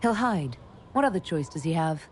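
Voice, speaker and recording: An actress playing a confident young elven mage heroine in a video game, speaking firmly and directly. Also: female voice, low voice, expressive, normal speed, a little hiss or hum. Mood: determined. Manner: firm, direct. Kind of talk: video game cutscene acting.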